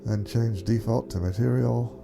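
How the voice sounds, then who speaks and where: A man giving a casual, unscripted software walkthrough, explaining things step by step in an informal way. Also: mid-range voice, steady tone, moderate pace, vocal fry, music in the background. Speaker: tutorial presenter.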